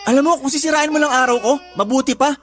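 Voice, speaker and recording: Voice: high voice, fast talker, lightly acted. A voice actor playing a lazy young husband who answers his wife in a whiny, defensive way. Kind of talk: Tagalog radio drama acting. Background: music.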